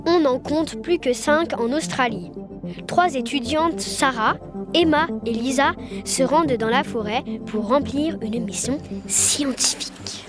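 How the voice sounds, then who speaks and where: A woman's voice: sits high, unhurried, over music. A young girl presenting and narrating a scripted radio show. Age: child.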